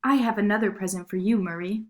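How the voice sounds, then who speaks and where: Woman as a gentle storybook toymaker man. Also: mid-range voice, fast, natural voice. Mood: kindly.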